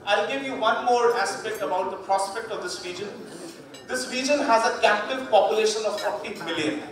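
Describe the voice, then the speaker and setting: A man with an Indian accent giving a formal speech as a government official, measured and full of "uh" fillers. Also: sits high. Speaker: government official speaking at a festival inauguration. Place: hotel function suite. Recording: echoey hall, distant mic, crowd noise.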